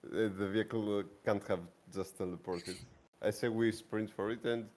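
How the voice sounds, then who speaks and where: A man's voice: lowish voice, fairly even intonation, moderate pace, a little mellow. A man talking casually as a player in a tabletop roleplaying game, reasoning things through.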